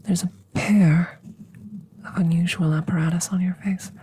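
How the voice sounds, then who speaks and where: Woman voicing a low, hushed alien scientist. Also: fairly even intonation, a little hiss or hum, natural voice. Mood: fascinated, hushed. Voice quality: soft, often whispered close to the mic. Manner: slow, measured, formal, inquisitive. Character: curious, clinical female alien scientist with tentacles. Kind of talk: ASMR roleplay. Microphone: close microphone.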